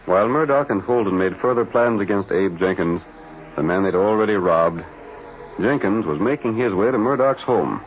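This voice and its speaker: A man's voice: fairly low pitch, close to an everyday voice. A man narrating a radio drama in a dramatic 1940s style. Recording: muffled, noisy old radio recording.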